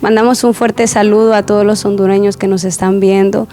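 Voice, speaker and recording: Mellow-voiced woman hosting a church program. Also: medium pitch, level tone, a little fast, soft background music. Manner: warm pastoral, repeats words, many affirming murmurs.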